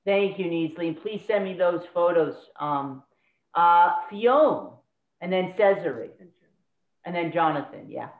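A woman's voice: fairly low pitch, animated. A woman hosting an online workshop, encouraging and calling on participants by name. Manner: brisk, encouraging, calls on people by name. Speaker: workshop host. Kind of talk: casual moderation. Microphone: video call. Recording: tinny phone-like echoey call audio.

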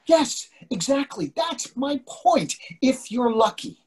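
A man's voice: very high-pitched, sing-song, slow pace, darkish tone, husky, heavily acted. An older man reading the part of an anxious, bossy husband in a stage play, fretful and full of questions. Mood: exasperated, wry. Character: anxious, bossy, needling husband in long marriage. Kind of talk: readers theatre play reading. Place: indoors on a video call.

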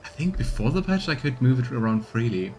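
A man's voice: mid-range voice, very animated, brisk, a little mellow, slight background noise. A man in his thirties streaming a game, commenting casually and reading dialogue aloud. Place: small room indoors, on webcam. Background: game music.

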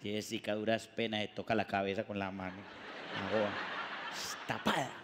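A man's voice: fairly low pitch, little pitch movement, moderate pace, in a large hall, background noise. A middle-aged man hosting a comic talk show, teasing and playful in a jumpy way. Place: TV studio set.

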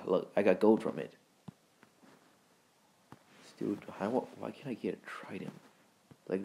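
A man hosting a gaming video with casual, rambling, halting commentary in informal words. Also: lowish voice, warm tone, slight background noise. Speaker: gaming video creator and host.

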